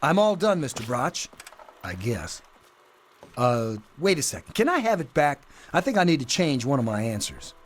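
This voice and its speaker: A man's voice: mid-range voice, very animated, moderate pace. A man playing a brash, whiny teenage schoolboy in a video game, talking in a slangy, juvenile way. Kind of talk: video game dialogue acting.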